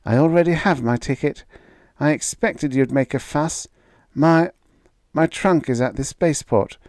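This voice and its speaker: Male reader voicing a resolute young daughter, raised pitch, dry close sound, storybook read. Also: measured pace, no echo. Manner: firm, quietly resolute. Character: young woman defying her bigoted father.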